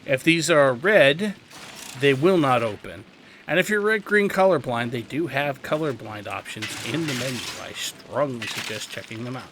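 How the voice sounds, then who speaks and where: A man's voice: mid-range voice, animated, moderate pace. A man giving casual, instructional gameplay commentary as a guide host, in an explanatory, slightly wry way. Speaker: video game guide host. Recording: clear background game noise. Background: video game ambience.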